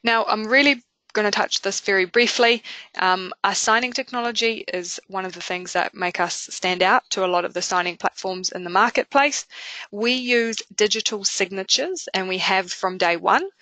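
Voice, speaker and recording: A woman with a New Zealand accent presenting a product webinar in a conversational way, often pausing with fillers. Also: medium pitch, bright, forceful tone, dry recording, poor audio. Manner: conversational, with frequent filler pauses.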